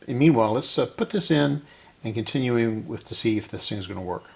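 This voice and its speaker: A man's voice: medium pitch, animated, fairly quick, creaky, a little mellow. A man giving casual hands-on repair narration, thinking aloud and often correcting himself as he works. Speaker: host of a radio repair channel.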